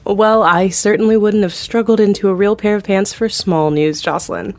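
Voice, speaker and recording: A woman's voice: medium pitch, fairly even intonation, normal speed, soft background music, natural voice. A woman acting as a television writer on a talk show, speaking with dry humor. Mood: wry. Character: woman, wry TV writer guest.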